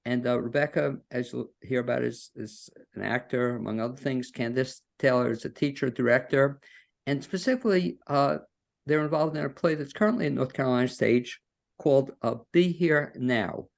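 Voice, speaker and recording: A man's voice: mid-range voice, normal speed, vocal fry. A man hosting an interview podcast from his home studio over a video call, talking in a folksy, rambling way. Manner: folksy, rambling with fillers and restarts. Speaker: podcast host.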